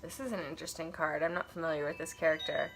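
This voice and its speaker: A woman's voice: low-pitched, fairly even intonation, fast, creaky, music in the background. A young woman in her twenties, congested from allergies, chatting casually while unboxing an oracle deck in her bedroom and thinking aloud with wandering asides. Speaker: tarot and oracle deck reviewer. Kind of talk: casual unboxing chat with read-aloud passages. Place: cluttered bedroom, indoors.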